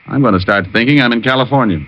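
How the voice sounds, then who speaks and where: A man playing a wisecracking, cynical private detective in a 1940s radio drama, dry and sardonic, quick with insults. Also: mid-range voice, natural voice. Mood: wry. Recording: muffled old-time radio recording.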